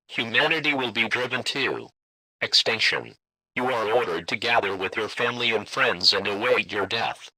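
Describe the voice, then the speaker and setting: A man's voice: fairly low pitch, pushed and bright, husky, no echo, low-quality recording. A man reading a scripted emergency broadcast announcement in a stilted, formal, robotic way.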